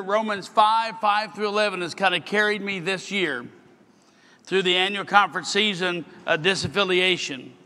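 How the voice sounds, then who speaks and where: A man's voice: sits high, bright, forceful tone. Older man preaching in a reverberant hall. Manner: earnest preaching, slowing on scripture. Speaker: bishop. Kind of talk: sermon keynote address. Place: large hall at a podium. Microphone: podium microphone.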